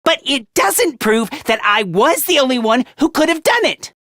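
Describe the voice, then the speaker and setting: A timid, self-loathing schoolboy from a video game. The voice sits very high and swings widely in pitch. He stammers and hesitates, always on the defensive, in a dry recording. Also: sing-song, normal speed, sharp tone, dry recording, full character voice. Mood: defensive, flustered. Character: nervous, self-loathing, cornered teenage schoolboy. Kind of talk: English video game voice acting.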